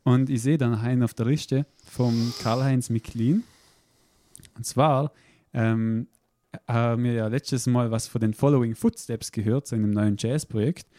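A man's voice: mid-range voice, fairly even intonation, measured pace, mellow, no echo. A man hosting a radio show in a chatty, playful way. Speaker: radio host. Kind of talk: casual radio chat.

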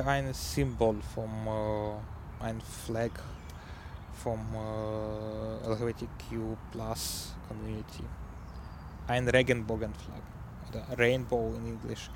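A man with a Ukrainian accent, a native Ukrainian speaker, presenting music on a community radio show in halting German full of fillers as he searches for words. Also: medium pitch, slight background noise.

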